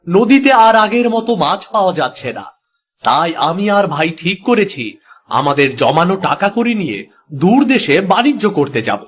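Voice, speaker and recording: Actor as high-voiced, animated village fisherman. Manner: earnest, persuasive, decisive. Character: resolute village fisherman, adult son and husband. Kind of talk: Bengali moral-story cartoon dubbing.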